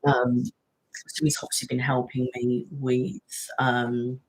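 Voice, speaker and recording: A woman's voice: a bass voice, little pitch movement, slow, poor audio. A woman hosting a casual live-stream chat, rambling, laughing often and thanking viewers by name. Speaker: ASMR channel host.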